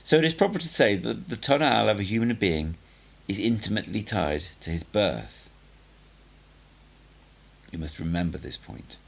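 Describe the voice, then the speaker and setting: A man voicing a male sorcerer teacher in an audiobook, cutting and assured, explaining mysteries in a deliberate, didactic and emphatic way. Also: fairly low pitch, expressive, creaky. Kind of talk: audiobook character dialogue.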